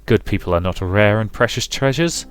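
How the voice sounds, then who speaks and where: A man voicing an ancient, callous male predator who feeds on people, talking in a smooth, condescending, amused way. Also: on the low side, expressive, normal speed, no echo, close to an everyday voice. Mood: silky. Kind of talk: visual novel voice reading.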